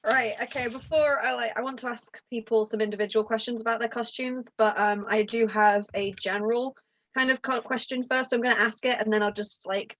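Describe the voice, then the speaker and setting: A woman's voice: fairly high pitch, steady tone, a little fast, a bit bright, rough recording. A woman co-hosting who asks questions in a chatty, rambling way. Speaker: co-host. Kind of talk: casual interviewing.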